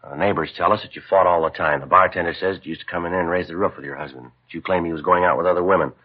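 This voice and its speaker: Brief: dry, polite American police detective, low pitch, rapid clipped delivery, vintage radio crime drama. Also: male voice. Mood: methodical, pressing. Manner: deadpan, matter-of-fact, clipped. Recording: dull, muffled 1950s radio recording.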